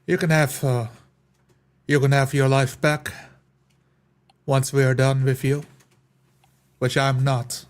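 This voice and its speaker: Man playing a stern, flat-toned adventurer questioning captives. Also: mid-range voice, slow pace, pauses often, close to an everyday voice.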